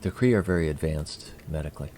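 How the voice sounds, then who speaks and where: A man playing a polite, curious alien emissary in an audio drama, with a gravelly voice and formal, measured, precise speech. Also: a bass voice, dark tone, a little hiss or hum. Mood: earnest, measured.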